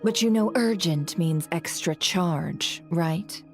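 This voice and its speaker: A woman's voice: fairly low pitch, takes it slowly, music in the background. A woman voicing a cool, shrewd female boss in a video game, dry, teasing and languid. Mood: reluctant, businesslike. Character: cool, wry businesswoman boss, shrewd and unhurried. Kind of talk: English video game voice acting.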